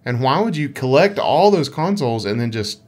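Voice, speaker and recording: A man co-hosting a gaming podcast in casual chat, with an animated, jokey way of talking. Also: mid-range voice, moderate pace.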